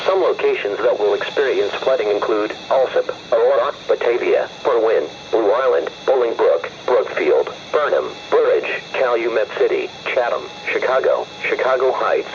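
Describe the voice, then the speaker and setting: A computer-generated male announcer with a mid-pitched voice reads a formal emergency weather bulletin. The delivery is scripted and impersonal. It comes over a dull, low-fidelity radio signal with faint noise behind it. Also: expressive, normal speed, hardly any pauses. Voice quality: synthetic text-to-speech voice. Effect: weather radio broadcast. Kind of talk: scripted weather alert reading.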